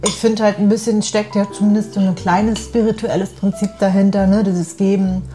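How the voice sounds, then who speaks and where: A woman's voice: mid-range voice, flat delivery, moderate pace, dark tone. A woman in casual, reflective conversation, speaking tentatively and often seeking agreement.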